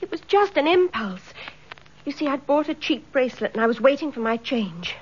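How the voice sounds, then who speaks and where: Timid young British woman, high voice, earnest, troubled, radio drama, muffled top end. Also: very animated, brisk, slight background noise. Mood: remorseful, frightened. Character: anxious, remorseful young woman confessing, timid but earnest. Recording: dull, band-limited radio recording.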